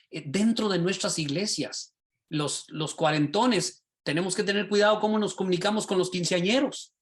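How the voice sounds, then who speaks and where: A man, a pastor and teacher, teaching a class over a video call in a lively, sermon-like way, often asking his listeners rhetorical questions. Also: sits high. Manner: animated, rhetorical questions, asks the class directly.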